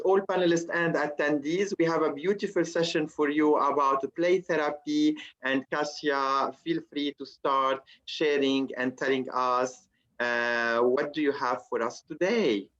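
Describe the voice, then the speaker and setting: A man's voice: a little high, fairly even intonation, unhurried, a bit bright. A man hosting an online session, welcoming participants and handing over to a speaker. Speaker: webinar host. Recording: dull lo-fi video call audio.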